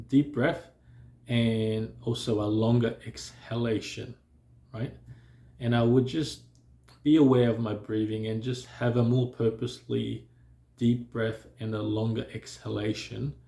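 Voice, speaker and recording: A man who stutters, talking calmly and reflectively to camera, with pauses and occasional blocks on words. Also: medium pitch, vocal fry, roomy sound. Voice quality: stutters and blocks on some sounds. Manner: calm, measured, with pauses and fillers. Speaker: vlogger sharing stuttering experience. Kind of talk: reflective personal talk to camera.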